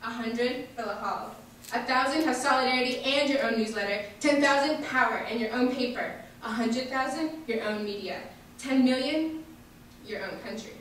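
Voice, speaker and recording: A woman reciting a poem in measured, deliberate short phrases in a large echoey hall. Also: fairly high pitch, fairly bright tone. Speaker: reader at a memorial staged reading. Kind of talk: poetry recitation. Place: large echoey indoor hall, distant microphone. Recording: echoey hall from far off, faint noise.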